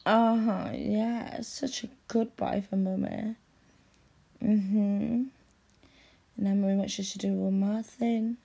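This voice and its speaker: A woman in intimate audio roleplay, speaking softly and coaxingly and often dropping into a whisper. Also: mid-range voice, vocal fry, husky. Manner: soft, slow and coaxing, often drops to a whisper.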